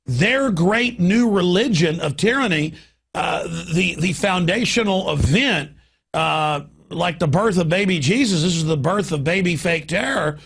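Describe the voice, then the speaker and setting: A man hosting an opinionated political radio talk show, with a gravelly, raspy voice and an emphatic, ranting manner. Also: high-pitched, lively intonation, a little slow, a bit bright, slight background noise. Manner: emphatic, ranting, piles up examples.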